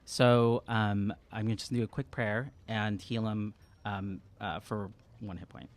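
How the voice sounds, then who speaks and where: A man's voice: on the low side, fairly even intonation, measured pace. A man in his thirties improvising his moves as a player in a casual tabletop roleplaying session, hesitant and thinking aloud at a close studio microphone. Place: small podcast studio. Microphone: close podcast microphone on boom arm.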